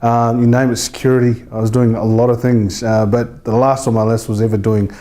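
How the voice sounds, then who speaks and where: Very mellow New man, chatting informally. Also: mid-range voice, level tone, brisk. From New Zealand. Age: 30s. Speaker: real estate agent being interviewed. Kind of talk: casual interview chat.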